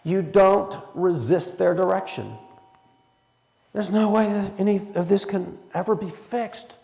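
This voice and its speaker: A man's voice: high voice, unhurried, gravelly, warm tone, in a large hall. A man leading a church Bible study in a folksy way, asking the room questions as he teaches. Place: church hall.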